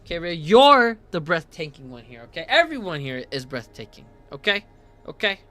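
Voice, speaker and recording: A man streaming a game from home on a headset, chatting casually and reading in-game text aloud, tripping over hard names. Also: a little high. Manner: reads lore in a slower, calmer voice.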